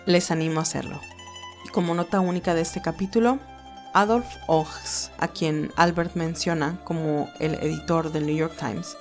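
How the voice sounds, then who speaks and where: A woman narrating a fan fiction audio story and reading scripted notes to listeners as its host. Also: lowish voice.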